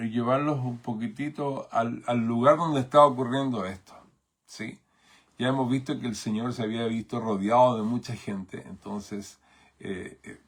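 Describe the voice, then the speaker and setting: A man's voice: medium pitch, slightly rough. A man from Chile with a Chilean Spanish accent, preaching a sermon as pastor in a thoughtful way, with many fillers and tag questions.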